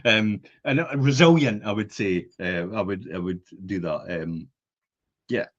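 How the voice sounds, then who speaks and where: Husky man in his fifties. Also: lowish voice, measured pace. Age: 50s. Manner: blunt, jokey, swears casually. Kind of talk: casual podcast chat. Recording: dull lo-fi sound.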